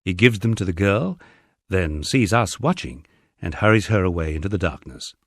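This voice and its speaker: A man's voice: low-pitched, expressive, moderate pace, no echo, clean studio sound. A man reading a humorous memoir as scripted audiobook narration, with a wry storytelling manner.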